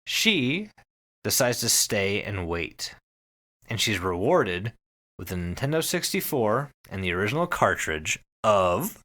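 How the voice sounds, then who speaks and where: Animated, bright-voiced male podcast host. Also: fairly low pitch, unhurried. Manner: profane, emphatic, repeats phrases for effect. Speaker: podcast host telling a story to co-hosts. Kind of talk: casual, animated storytelling chat.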